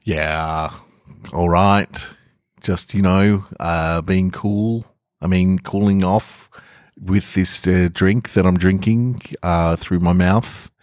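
A bashful teenage boy, as a man reads him out, fumbling for words and tripping over himself in a low, dark voice. His halting, self-conscious lines come through close and dry, as if in a storybook reading. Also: steady tone, no echo. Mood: flustered. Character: shy, awkward adolescent boy at a school dance.

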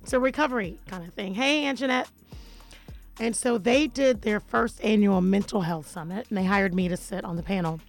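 Raspy gravelly woman on the radio, bit hoarse, chatty shout-outs, soft music under her. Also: moderate pace. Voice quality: deep raspy voice. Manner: animated, chatty, gives listener shout-outs. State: a little hoarse. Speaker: radio host. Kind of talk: casual radio chat.

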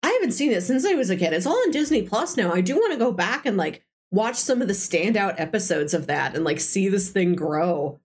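A woman's voice: mid-range voice, expressive, a little fast, few pauses. A woman co-hosting a casual film discussion, explaining things in a rambling, conversational way peppered with "like". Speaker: podcast co-host. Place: indoors, recorded in person.

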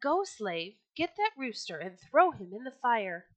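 A woman's voice: on the high side, big pitch swings, measured pace, fairly bright tone, no room sound, exaggerated voice. A woman voicing a big, fat, bad-tempered male sultan who barks bossy orders. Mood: commanding.

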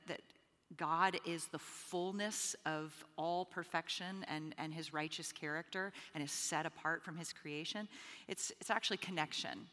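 A woman's voice: fairly low pitch, sharp tone. A woman from the Bahamas, a guest on a live podcast, speaking in an earnest, emphatic way. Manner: emphatic, earnest, repeats words. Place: conference stage with hall. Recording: live hall reverb.

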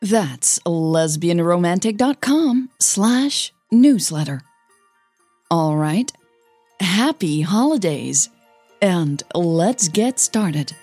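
Female narrator and podcast host for scripted fiction: low, gravelly, close dry sound. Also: animated, extremely slow, warm tone, dry recording, soft background music. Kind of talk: scripted announcements and story narration.

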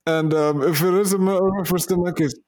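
A man co-hosting a podcast in casual chat, laughing often and loudly. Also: high-pitched, steady tone, fast. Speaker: podcast co-host.

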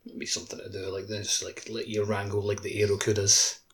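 A man co-hosting a gaming podcast, talking casually in loose, halting phrases full of filler words. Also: lowish voice, completely flat delivery. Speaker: podcast co-host.

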